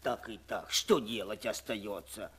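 A man's voice: fairly low pitch, unhurried, slightly rough, pitched below the performer's own voice. A man voicing a greedy, fretful old priest on a children's story record, blustering at his worker. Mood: scheming.

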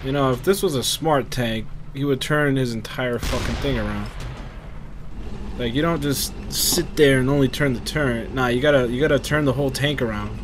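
A man's voice: mid-range voice, moderate pace. A man giving casual, profane gameplay commentary in an offhand, sarcastic way. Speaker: gamer commentator. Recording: game gunfire and vehicle noise behind. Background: war game sounds, gunfire, vehicles.

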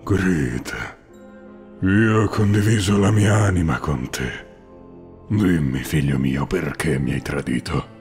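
A man voicing an ancient, stern father of homunculi in an anime fan dub, gravelly voice. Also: rumbling low, slow pace, darkish tone, faint music behind.

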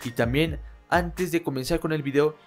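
A young man hosting his channel, reading a scripted sports narration in a steady, informative way into a studio microphone in a small room at home. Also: fairly high pitch, normal speed, faint music behind. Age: young adult. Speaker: channel host. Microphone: studio microphone on stand.

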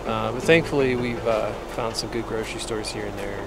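A man in his forties chatting casually to a handheld camera outdoors at a campground, relaxed and self-deprecating. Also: on the low side, a little fast, heavy background noise. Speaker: family vlogger. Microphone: camera held at arm's length.

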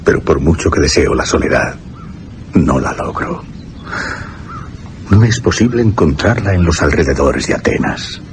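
An actor with an accent from Spain playing a haunted, grieving young gentleman in a brooding, literary radio drama monologue. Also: very deep voice, animated, moderate pace, long pauses, noisy background. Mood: haunted, mournful.

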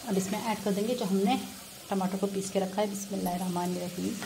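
A woman's voice: mid-range voice, steady tone, quick pace, creaky, noisy background. Woman vlogging a recipe over frying sizzle. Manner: friendly, addresses viewers as nazreen, frequent religious blessings. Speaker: cooking vlogger. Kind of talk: casual cooking tutorial narration. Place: home kitchen, beside a frying stove.

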